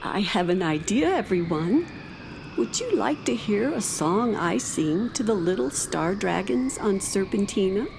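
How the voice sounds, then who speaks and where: A woman playing a gentle, motherly star dragon in a children's radio play, soothing her frightened friends. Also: medium pitch, lively intonation, vocal fry, noisy background. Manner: slow, soothing. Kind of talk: children's radio play acting with lullaby singing.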